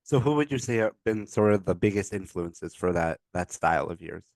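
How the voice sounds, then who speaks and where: A man hosting a podcast, asking relaxed questions and agreeing easily with his guest. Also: low-pitched, brisk, no echo. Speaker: podcast host. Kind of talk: interviewing.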